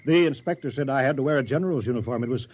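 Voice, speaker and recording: An actor playing a flustered, eager-to-please village mayor turned general, raspy and prone to stammering, in old-time radio style. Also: male voice, medium pitch, very fast, darkish tone, lightly acted. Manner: fussy, stammering, folksy. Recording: dull old radio recording.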